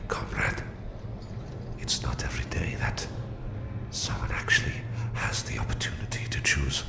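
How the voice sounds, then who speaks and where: A man playing a menacing, domineering Soviet psychic supervillain with a Russian accent in a slow, condescending ASMR roleplay, his voice whispery and gravelly. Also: rumbling low, reverberant, exaggerated voice.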